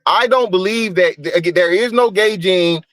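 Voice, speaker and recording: A man's voice: high-pitched, normal speed, fairly bright tone, dry recording. A man on a livestream panel, arguing his point bluntly and insistently, swearing freely and repeating himself with emphasis. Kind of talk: heated debate on a livestream panel.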